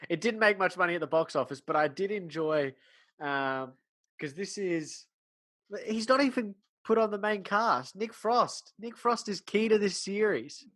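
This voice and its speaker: A man's voice: sits high, animated, unhurried, a bit bright. A man chatting casually as a podcast co-host, informal and blokey, with conversational asides. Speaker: podcast co-host discussing films. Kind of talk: casual chat.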